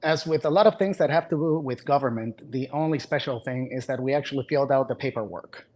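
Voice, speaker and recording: A man from Puerto Rico answers questions as a guest doctor, with a mid-range voice. He sounds relaxed and slips in dry jokes. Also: medium pitch, quick pace. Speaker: physician, guest. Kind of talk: interview answers.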